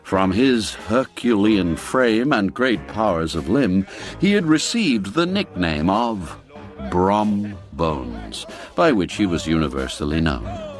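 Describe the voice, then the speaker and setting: A man narrating a classic literary tale for radio in a measured, expressive, theatrical way. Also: low voice, soft background music. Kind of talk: dramatized literary storytelling for radio.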